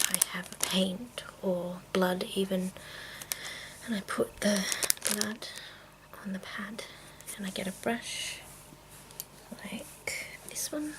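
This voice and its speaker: A woman hosting an ASMR video in a breathy whisper, chatting casually and playfully as she shows off her things. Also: medium pitch, background noise. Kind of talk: whispered ASMR, casual show-and-tell of makeup tools.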